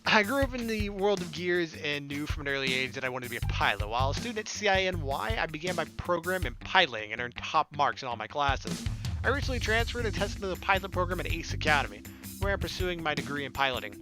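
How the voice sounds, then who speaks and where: A man's voice: fairly high pitch, sing-song, quick pace, music in the background. A man hosting a visual novel playthrough, reading text aloud and adding casual, joking asides. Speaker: gaming video host.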